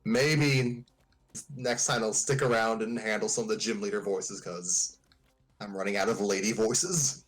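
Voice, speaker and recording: A man's voice: fairly low pitch, fairly even intonation, a little slow, rough recording. A man co-hosting a livestream in casual, conversational chat. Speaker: co-host of a story-reading livestream.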